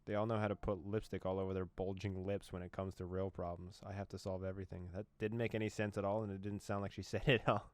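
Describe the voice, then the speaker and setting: Deep-voiced man narrating a game. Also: little pitch movement, quick pace, hardly any pauses, no echo. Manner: dramatic narration with amused asides. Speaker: gaming YouTuber. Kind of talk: reading a visual novel aloud with commentary.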